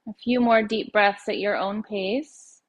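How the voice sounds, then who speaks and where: A woman yoga nidra teacher guides a meditation in a medium-pitched voice. Her intonation stays very even, and she phrases slowly, leaving gentle pauses between instructions. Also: highs cut off.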